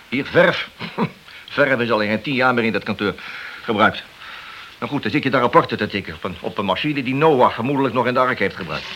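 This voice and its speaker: A man playing an ambitious, impatient and cynical police detective in a radio play, gruff and argumentative. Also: fairly low pitch, bright, forceful tone. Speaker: radio drama actor. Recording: hissy, noisy old radio recording.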